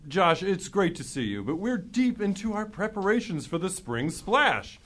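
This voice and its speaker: A man's voice: high-pitched, big pitch swings, normal speed, a bit bright. A male actor playing a jovial, theatrical choir teacher and barbershop singer, pompous and jokey, in a comic radio drama.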